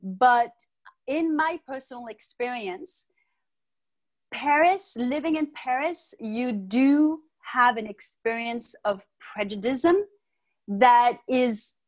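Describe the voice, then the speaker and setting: A middle-aged woman from the United States, raised in Martinique, talks as an interview guest over a video call. She explains things calmly and in measured steps. She speaks into an earphone mic in a room at home. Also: a little high, low-quality recording. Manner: calm, thoughtful, measured explaining. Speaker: guest, Ayurvedic practitioner. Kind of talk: interview answers.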